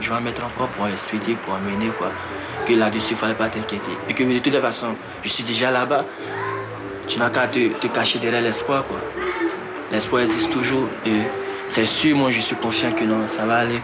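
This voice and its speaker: A young man from Ivory Coast with an Ivorian accent, a youth footballer speaking in a candid interview, halting and repeating words. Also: medium pitch, little pitch movement, loud music behind the voice. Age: young adult. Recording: echoey, noisy location sound.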